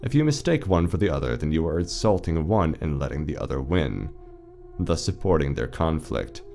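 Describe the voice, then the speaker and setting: A man playing an eerily calm, reassuring announcer in a surreal audio drama, measured, soothing and deadpan. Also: a bass voice, vocal fry, slight background noise, exaggerated voice. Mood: deadpan announcing.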